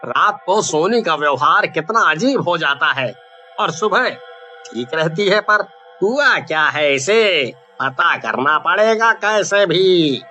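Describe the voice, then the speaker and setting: A man acts out a worried, bewildered husband in an animated horror tale. His voice is high and sharply bright, delivered slowly with anxious questions and exclamations, over light background music. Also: full character voice. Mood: puzzled, brooding. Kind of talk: dramatized Hindi animated horror-story acting.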